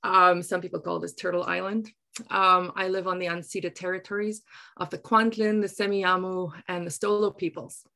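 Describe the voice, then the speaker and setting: A middle-aged woman from South Africa with an Afrikaans accent, telling personal stories reflectively in an earnest way, often halting to search for words. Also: lowish voice, level tone, gravelly, pushed and bright. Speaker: interview guest, writer. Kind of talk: reflective personal storytelling in conversation.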